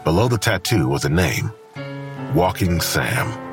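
Crime drama narrator: American, bottom-register voice, grave and measured, expressive intonation. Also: male voice, low-pitched. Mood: grave, detached. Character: grave, detached male narrator of a crime story. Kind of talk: scripted noir crime narration. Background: faint music.

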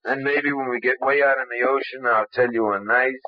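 A man's voice: mid-range voice, steady tone, normal speed, lightly acted. An American actor playing a menacing thug kidnapper in old-time radio crime drama, with taunting, slangy tough-guy talk. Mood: taunting menace. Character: sneering, menacing thug kidnapper, a butler gone bad. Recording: dull, muffled old radio recording.

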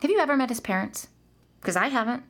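A woman playing an anxious, weary suburban mother in a naturalistic audio drama, talking in a conversational, often hushed way with an exasperated edge. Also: on the high side, expressive, quick pace. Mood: uneasy, exasperated.

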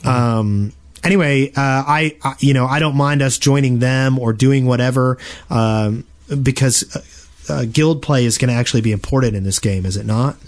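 A man's voice: medium pitch, normal speed. A man co-hosting a gaming podcast, talking casually in an easygoing, joking way. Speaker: podcast co-host.

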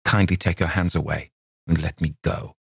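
A man reading a novel aloud, voicing a stern, priggish gentleman who lectures in a measured, disapproving way. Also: low-pitched, steady tone, gravelly, slightly rough, dry recording, clean studio sound, full character voice. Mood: indignant. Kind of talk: audiobook character voice.

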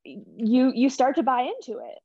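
A woman's voice: high-pitched, expressive, normal speed, husky, dry recording, muffled recording. A young woman speaking as a podcast guest from a team's communications staff, chatting in an upbeat, earnest way. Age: young adult. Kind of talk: casual interview chat.

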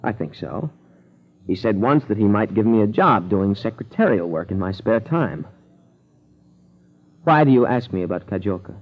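A muffled old radio recording holds a young male student, wary and polite. His voice is low and husky, in 1940s radio drama style. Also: lively intonation, moderate pace, long pauses. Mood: wary, puzzled. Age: young adult.

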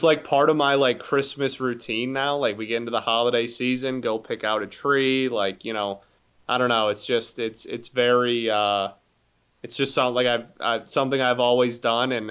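Halting young man, smooth voice. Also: mid-range voice, a bit bright. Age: 20s. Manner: halting, many restarts and fillers. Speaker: podcast co-host. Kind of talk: casual chat.

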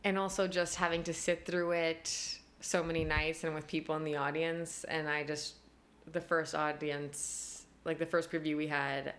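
Woman with a low voice, young adult, chatty guest, lots of fillers, laughs often. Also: flat delivery, moderate pace, hardly any pauses, a bit bright. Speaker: costume designer, guest. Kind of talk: casual conversational interview.

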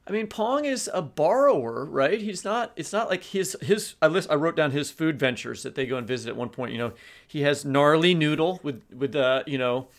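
Creaky-voiced man discussing books. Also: fairly high pitch, expressive, moderate pace. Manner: discursive, restarts sentences as he builds a point. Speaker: podcast co-host, teacher. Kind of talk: discussion on a literary podcast.

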